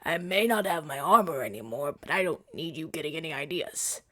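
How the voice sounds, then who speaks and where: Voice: medium pitch, expressive, fairly quick, fairly bright tone, no echo, clean studio sound. A woman playing a flustered, self-righteous hero in a scripted ASMR audio drama, with a theatrical, stammering delivery. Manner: stammering, indignant, dramatic delivery with breathy whispers.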